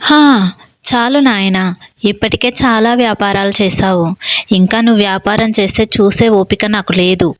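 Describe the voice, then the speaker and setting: A woman acts out an older mother-in-law in a storybook tale. She is weary and blunt, scolding her family in a mid-pitched voice. The sound is dry and close. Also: fairly even intonation, moderate pace, no echo, natural voice. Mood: exasperated. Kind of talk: acted moral-story dialogue.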